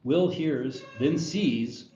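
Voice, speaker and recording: A man's voice: mid-range voice, drawn-out words, warm tone, soft background music. A man narrating stage directions at a screenplay table read. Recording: echoey room, muffled, faint noise.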